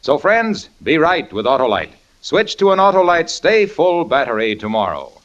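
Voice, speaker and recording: Folksy old-time radio pitchman. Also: sing-song, moderate pace, fairly bright tone. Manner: folksy, salesy, emphatic. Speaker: announcer. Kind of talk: vintage radio commercial. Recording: muffled old radio broadcast.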